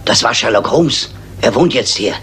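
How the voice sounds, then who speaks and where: An actor playing a nervous, grumbling village innkeeper with a gravelly voice in a German radio crime drama. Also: male voice, medium pitch, animated, normal speed, a crowd in the background, lightly acted. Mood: anxious, uneasy.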